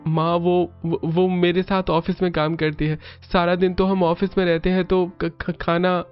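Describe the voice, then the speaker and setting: A man puts on a young man of a cartoon household, high and excitable. He is dramatic and easily flustered, on slightly muffled audio with music underneath. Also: a little slow, clear, resonant tone. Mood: nervous. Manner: exclaiming, hesitant stammering when pressed.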